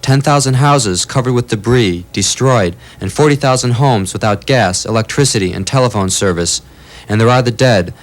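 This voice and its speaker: A man delivering a scripted television news report in a measured, solemn way. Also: medium pitch. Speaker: news correspondent.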